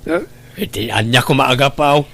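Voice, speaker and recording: A man playing a cautious, concerned older father in a radio drama, speaking in a measured, reasoning manner. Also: medium pitch, vocal fry, pushed and bright.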